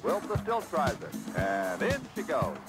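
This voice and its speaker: A man doing excited live basketball play-by-play as an announcer on an archive broadcast. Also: mid-range voice, measured pace. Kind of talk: excited live sports commentary. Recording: archive game broadcast, music over it.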